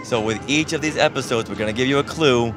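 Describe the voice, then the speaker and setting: A man's voice: medium pitch, quick pace. Male podcast host, conversational and informal, mid-range voice with music underneath. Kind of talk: casual co-hosted podcast chat.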